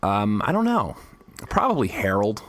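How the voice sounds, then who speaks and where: A man's voice: fairly low pitch, huge pitch swings, normal speed. A young man co-hosting a podcast, stuffy and losing his voice, rambling crudely and laughing a lot. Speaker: podcast co-host. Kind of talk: casual chat.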